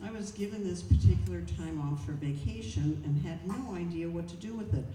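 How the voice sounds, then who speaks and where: A woman's voice: lowish voice, fairly quick. Woman presenter in a noisy, echoey room. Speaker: presenter reading a tribute speech at a quilt guild meeting. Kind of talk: reading a prepared speech aloud with informal asides. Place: indoor meeting room, speaking to a group. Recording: roomy, distant mic, clear background noise.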